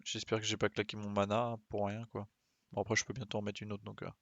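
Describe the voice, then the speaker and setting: Low-voiced man muttering through a game stream. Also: monotone, quick pace, creaky, a bit bright, dry recording. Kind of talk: casual live gameplay commentary.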